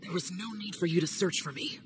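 A man's voice: sits high, fairly quick, background noise, natural voice. An actor playing an aloof, brooding young male guardian who speaks in terse, grave lines.

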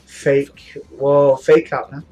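Hoarse young man reacting to anime. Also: mid-range voice, little pitch movement, slow pace, warm tone, poor audio. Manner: casual, swears a lot, coughs now and then. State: hoarse, getting over a flu. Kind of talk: live reaction commentary. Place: small indoor room. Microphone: webcam, headphones on.

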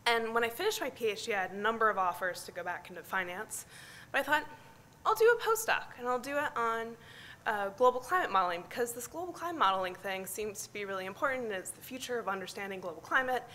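Midwestern woman speaker, middle-aged, bright voice, candid and self-deprecating. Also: on the high side, expressive, fast, slight background noise. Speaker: conference speaker. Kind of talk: personal talk at a science meeting. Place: large conference hall.

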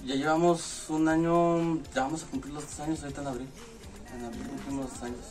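A man's voice: a little high, steady tone, a little fast. A man in his twenties from Puebla with a central Mexican accent, chatting casually and a bit hesitantly as a guest. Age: 20s. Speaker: interview guest. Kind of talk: casual chat. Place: small indoor lounge room in a club. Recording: distant mic, clear music behind.

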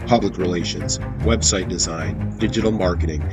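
Male ad announcer over loud music. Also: sits low, moderate pace. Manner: crisp promotional delivery. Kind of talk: scripted radio-style ad read. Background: loud music bed.

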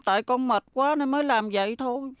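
A woman's voice: high voice, fairly quick, clean tone, no room sound, clean studio sound, lightly acted. A woman voicing a sensitive, earnest young schoolboy in a cartoon dub, with a childlike delivery. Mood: fed up. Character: sensitive young schoolboy, weary of extra classes.

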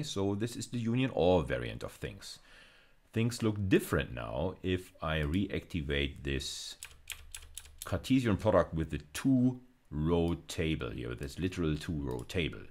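A man, lecturing step by step in a deliberate, explanatory way with frequent check-in tags. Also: rumbling low. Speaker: university lecturer. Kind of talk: explanatory video lecture with live coding demo.

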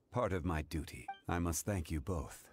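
An actor playing a calm ancient god in human form, speaking formally and gravely in a video game. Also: male voice, very deep voice, exaggerated voice. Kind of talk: scripted game dialogue.

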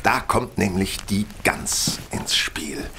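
An actor playing a brilliant, self-assured Victorian detective in an audio drama, reasoning in a confident, didactic manner. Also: male voice, low voice, animated, takes it slowly, vocal fry, sharp tone, in a whisper, exaggerated voice. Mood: assured, deducing. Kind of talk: German audio drama acting.

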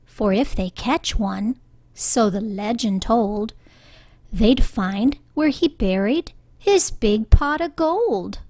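A woman reading a children's story aloud with deliberate, expressive phrasing. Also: a little high, no room sound. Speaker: storybook reader. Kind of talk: children's read-aloud narration.

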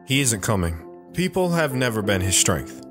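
A man's voice: lowish voice, sing-song, normal speed. A man playing a reflective, stoic young soldier and father in an audio drama, with an understated delivery. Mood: resigned. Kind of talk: audio drama first-person narration and dialogue. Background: guitar music.

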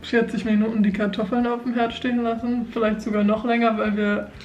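A woman's voice: a little high, flat delivery, brisk, darkish tone, echoey room, lo-fi sound. A woman in her twenties chatting casually and joking about herself as a vlog co-host, filmed by a camera on a table in an apartment. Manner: chatty, self-mocking, laughs often. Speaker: vlogger co-host. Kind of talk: casual chat. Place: apartment living room, indoors.

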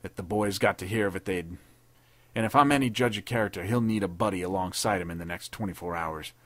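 A man playing a tough, vengeful army captain who speaks in gruff, threatening tones. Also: low-pitched, fast, fairly bright tone, no echo. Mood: menacing.

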